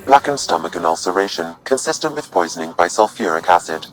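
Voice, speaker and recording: An artificial intelligence assistant from a sci-fi game. The voice is very low, measured and clinical, calmly analytical, over faint music. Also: male voice, poor audio. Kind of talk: video game dialogue.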